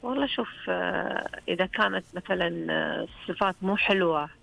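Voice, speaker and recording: A woman's voice: on the low side, fast, creaky, fairly bright tone, faint music behind, rough recording. A woman with a Saihat Saudi accent, calling in to a radio show and chatting earnestly and frankly. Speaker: radio call-in caller. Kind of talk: casual phone-in chat.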